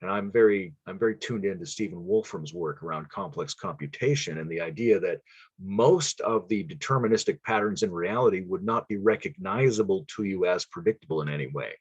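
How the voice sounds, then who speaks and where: A man's voice: low-pitched, a little fast, slightly rough, dry recording, highs cut off. A man talking as a guest on a podcast, spinning long theoretical ideas in a loose, musing way, chuckling now and then. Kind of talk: casual conversation.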